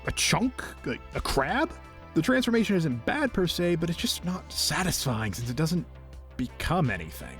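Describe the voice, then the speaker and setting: A man narrating an enthusiastic scripted review as a video host, with a playful, whimsical and expressive delivery. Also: fairly high pitch, moderate pace. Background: background music.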